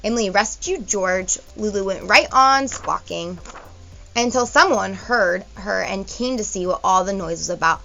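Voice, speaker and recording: A woman's voice: medium pitch, moderate pace, vocal fry, pushed and bright, muffled recording, faint music behind. A woman teacher reading a picture book to children, expressively, with explanatory asides for young listeners.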